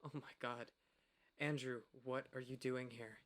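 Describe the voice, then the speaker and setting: An actor playing an earnest late-night radio host and protective older brother in a radio play. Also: male voice, medium pitch, fairly even intonation, a little slow, fairly bright tone, natural voice. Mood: fearful, pleading.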